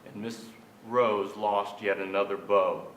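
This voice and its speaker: A man's voice: on the low side, flat delivery, slow, fairly bright tone, a little hiss or hum. An older man acting as a sober, formal narrator of family history in a staged reading. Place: theatre stage before an audience. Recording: echoey theatre stage.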